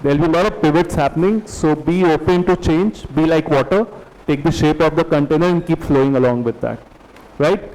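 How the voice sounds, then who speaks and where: A man moderates a career panel in a calm, encouraging way and passes questions to the panelists. His voice sits a little high. The sound carries a long hall reverb. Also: fairly quick.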